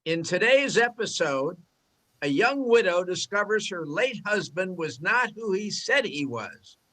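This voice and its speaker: Older guy doing slow dramatic radio soap announcer, high bright voice. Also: big pitch swings, full character voice. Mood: grand, dramatic. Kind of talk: 1940s radio soap opera narration.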